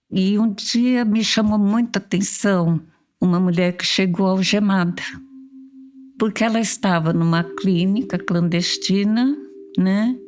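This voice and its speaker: A woman in her seventies with a Brazilian Portuguese accent, slowly and reflectively recalling her years as a social worker in an interview. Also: mid-range voice, a little mellow. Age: 70s. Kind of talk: recorded interview testimony.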